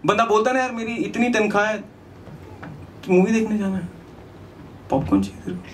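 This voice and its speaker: A man's voice: high voice, fairly quick. Male comic, distant noisy sound. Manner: conversational asides between recited rhyming verses. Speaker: stand-up comedian. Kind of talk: lyrical stand-up comedy, rhymed storytelling. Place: small indoor comedy venue on stage. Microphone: handheld microphone.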